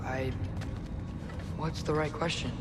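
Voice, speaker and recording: An earnest, higher-voiced teenage boy. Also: steady tone, slow, heavy background noise. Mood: doubtful. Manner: earnest, searching, hesitant when unsure. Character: earnest, eager teenage boy, questioning and hopeful. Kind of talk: dramatic video game cutscene acting.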